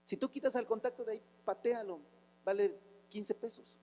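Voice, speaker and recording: A raspy-voiced man preaching with fervor, his voice sitting unusually high for a man. He pushes through rhetorical questions and bursts out in sudden exclamations. The whole sermon rings with a long reverb from the church hall. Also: fairly even intonation, moderate pace, warm tone. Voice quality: raspy, rough voice. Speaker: pastor.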